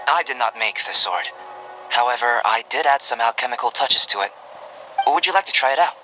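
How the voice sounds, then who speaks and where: A man playing a calm, cerebral young male alchemist in a video game, with measured, formal, articulate delivery. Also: medium pitch, close to an everyday voice, low-quality recording. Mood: calm, composed. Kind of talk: anime-style video game voice acting. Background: game music.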